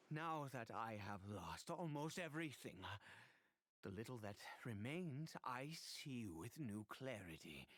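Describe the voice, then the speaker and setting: An actor playing a grief-stricken, centuries-old cursed nobleman in a video game, with halting, formal speech and nervous stammers. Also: mid-range voice, fairly bright tone, breathy edge, dry recording, heavily acted. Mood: bittersweet, wistful. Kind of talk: dramatic video game voice acting.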